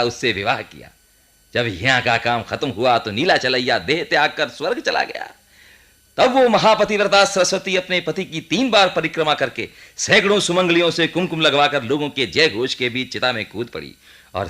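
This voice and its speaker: An actor playing a pious, indignant old priest in a radio play, scolding in an emphatic way. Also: male voice, mid-range voice, sharp tone. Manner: scolding, emphatic, reverent about tradition. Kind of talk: Hindi radio play acting.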